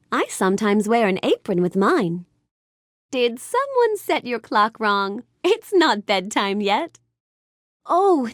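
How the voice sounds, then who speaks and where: A woman's voice: fairly high pitch, no room sound, clean studio sound. A woman voicing a gracious, bookish young storybook heroine in a video game, speaking politely and expressively with careful enunciation. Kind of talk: scripted video game character lines.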